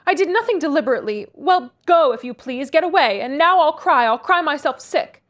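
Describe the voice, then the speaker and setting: A woman voicing a willful, peevish young woman who snaps and shouts in a rage. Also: lively intonation, a little fast. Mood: shrill. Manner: shrill, imperious outbursts.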